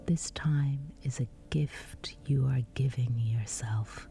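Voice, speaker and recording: A woman guiding a sleep meditation in a breathy, whispery voice, soothing and measured with long pauses. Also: extremely low pitch, dark tone, soft background music. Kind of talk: guided sleep meditation, scripted.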